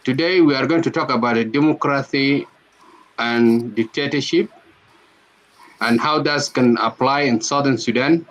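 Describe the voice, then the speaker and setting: A man speaking as a guest opening a live online panel discussion, in a measured way. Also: mid-range voice, a bit bright, highs cut off.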